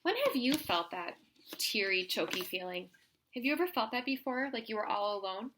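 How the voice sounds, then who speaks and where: Bright-voiced woman teacher reading aloud, higher pitched. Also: normal speed, a little hiss or hum, rough recording. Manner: expressive, instructive, prompting listeners with questions. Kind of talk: children's story read-aloud with questions to pupils.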